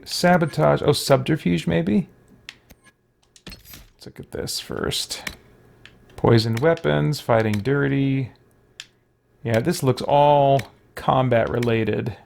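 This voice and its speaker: Male gaming commentator, medium pitch, casual and deliberating aloud, slight background noise. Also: takes it slowly, vocal fry, long pauses, a little mellow. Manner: musing aloud, hesitant deliberation. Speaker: video game player and commentator. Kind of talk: casual let's play commentary.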